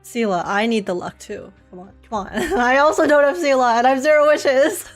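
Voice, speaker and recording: Bubbly young woman streamer, high voice. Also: fairly quick. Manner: bubbly, giggly, chatty. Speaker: game livestreamer. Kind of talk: casual livestream chat, reading and answering viewer comments. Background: game music.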